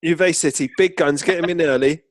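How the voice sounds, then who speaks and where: Higher-pitched male football podcast co-host. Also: fairly quick, no echo, studio quality. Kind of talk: casual football banter.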